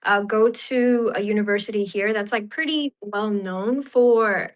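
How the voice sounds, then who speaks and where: A woman's voice: medium pitch, measured pace. A young woman from Fiji, a podcast guest and economist, talking casually with many fillers. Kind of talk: casual interview.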